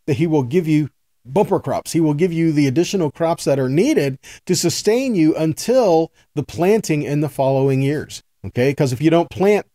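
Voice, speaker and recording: A man's voice: a little high, expressive, moderate pace, dark tone, no room sound, studio quality. A man giving an informal sermon as a Bible teacher, earnest and folksy, repeating phrases for emphasis and checking in with his listeners. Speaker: Bible teacher, host of a weekly teaching video.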